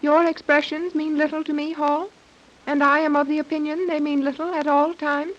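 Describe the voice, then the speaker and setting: A woman's voice: sits high, flat delivery, moderate pace, lightly acted. A woman playing a stern young submarine commander in a 1930s radio serial, formal, imperious and humorless. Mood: cool, formal. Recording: dull lo-fi 1930s radio recording.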